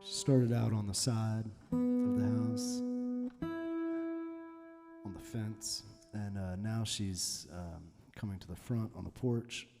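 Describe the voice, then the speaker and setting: A man chatting casually between songs on a live stream from his garage, soft-spoken and rambling, with plenty of fillers. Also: on the low side, steady tone, slow, vocal fry, loud music behind the voice. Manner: rambling, filler-heavy, laid-back storytelling. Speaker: musician hosting a live stream. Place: home garage.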